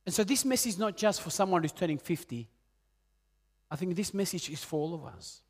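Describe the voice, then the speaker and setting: A man in his late forties from Peru, preaching a sermon in an earnest way, rising loud on key points and dropping soft and low. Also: lively intonation, fairly quick. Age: 40s. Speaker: pastor.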